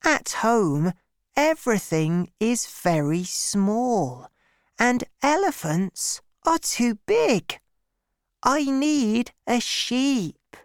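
A narrator voicing a small boy in a storybook, gentle but insistent, with slow, deliberate and simple speech. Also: mid-range voice, big pitch swings, no echo, studio quality, pitched above the performer's own voice, exaggerated voice. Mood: firm, protesting. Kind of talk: storybook character voice.

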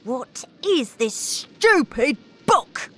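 A woman voicing a bad-tempered father who shouts and sneers. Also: high voice, sing-song, extremely slow, a little hiss or hum, pitched above the performer's own voice, heavily acted. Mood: angry. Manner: shouting, scornful.

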